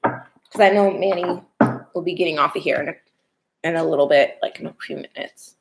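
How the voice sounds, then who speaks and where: A young woman hosting a live stream, chatting casually and reading tarot cards, her voice going hoarse. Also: low-pitched, moderate pace, a little hiss or hum, low-quality recording. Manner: chatty, laughs often, swears casually.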